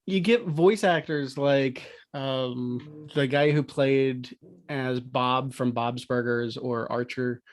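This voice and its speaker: A man's voice: mid-range voice, steady tone, slow. A man talking casually and conversationally as a panelist on a podcast. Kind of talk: casual chat.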